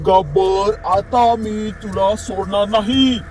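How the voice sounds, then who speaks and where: A man's voice: high-pitched, steady tone, takes it slowly, a little mellow, soft background music. A man voicing a big, angry father elephant in a children's cartoon story, stern and warning.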